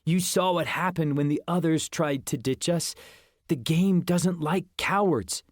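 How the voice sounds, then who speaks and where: A man's voice: fairly high pitch, measured pace, no room sound, clean studio sound, pitched above the performer's own voice. A man voicing a blunt, bossy boy who speaks firmly and sardonically in an audiobook. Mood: snide. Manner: firm and sardonic. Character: blunt, bossy boy, friend of the scared kid.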